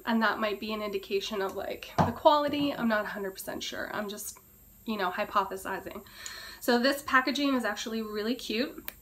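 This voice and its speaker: A woman with a hoarse, cracking voice she says she is losing, chatting casually through a beauty product review and often clearing her throat. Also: mid-range voice, fairly quick, fairly bright tone, a little hiss or hum. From Canada. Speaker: beauty vlogger.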